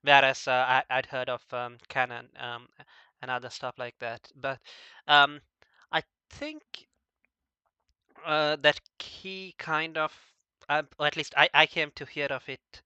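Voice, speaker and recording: A man interviews casually in a very bright, crisp voice, though his delivery is halting and he stammers often as he begins words. The recording is a little dull and dry. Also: mid-range voice, no echo. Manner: halting, frequently stammering over word starts.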